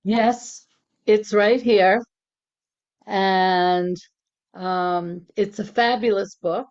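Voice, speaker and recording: A woman's voice: medium pitch, fairly even intonation, extremely slow, a little mellow. A woman on a video call speaking up to promote a book, upbeat. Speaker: meeting participant. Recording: dull lo-fi mic from a distance.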